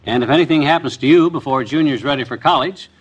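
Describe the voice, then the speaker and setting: An actor playing a blustering, folksy middle-aged husband in a 1950s radio comedy. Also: male voice, on the high side, quick pace, breathy edge, highs cut off. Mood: earnest. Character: excitable, forgetful middle-aged husband with a big appetite.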